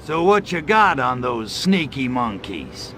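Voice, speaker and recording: A man playing a cocky, crude, trigger-happy alien invader in a video game, with a gravelly voice and a sarcastic drawl. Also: mid-range voice, big pitch swings, takes it slowly, background noise. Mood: cocky, joking. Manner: sarcastic, smart-alecky drawl. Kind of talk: video game cutscene acting.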